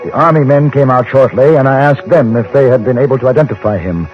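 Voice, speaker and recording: An actor in an old-time radio drama playing a courteous frontier newspaper correspondent, narrating and talking in a measured, literary, polite way. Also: male voice, mid-range voice, natural voice. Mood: reflective. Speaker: narrator and lead character. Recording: muffled old radio recording with loud music.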